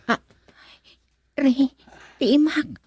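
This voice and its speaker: A female actor with a Filipino accent and a breathy voice, playing a guarded, dutiful young woman in a romantic radio drama. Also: high-pitched, very slow, a little mellow, no room sound, heavily acted. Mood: torn, reserved.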